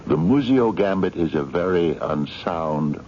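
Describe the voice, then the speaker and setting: A man's voice: low-pitched, animated, unhurried, a little mellow. An actor in a radio mystery drama playing an eccentric, courtly man of mystery, formal, hesitant and coaxing. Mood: ominous, insistent. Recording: dull, noisy 1970s radio drama recording.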